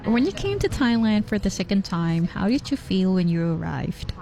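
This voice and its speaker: A woman interviewer asking measured questions, one at a time. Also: medium pitch, sing-song, music in the background, rough recording.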